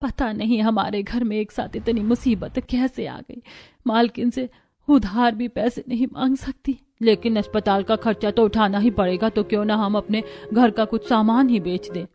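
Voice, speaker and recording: Woman acting a high-voiced, earnest poor housemaid mother. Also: steady tone, moderate pace, darkish tone, close to an everyday voice. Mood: worried, sorrowful. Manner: pleading, respectful, earnest. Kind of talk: dramatized Hindi moral story acting.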